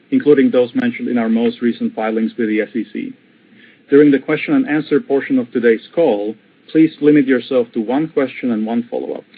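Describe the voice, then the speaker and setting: A man's voice: medium pitch, husky. A man reading a formal, scripted introduction to a corporate conference call as investor relations director. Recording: tinny, phone-like conference call line.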